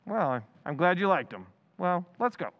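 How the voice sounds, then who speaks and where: Guy doing evasive old pal from radio sitcom, hesitant and placating, animated, kinda dull recording. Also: high voice, moderate pace, no echo. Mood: sheepish. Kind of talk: old-time radio comedy acting.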